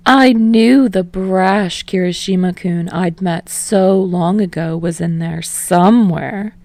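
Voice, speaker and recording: A woman voicing a confident, glamorous record executive in an audiobook, bright and playful. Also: lowish voice, slow pace, no room sound. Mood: bright, teasing.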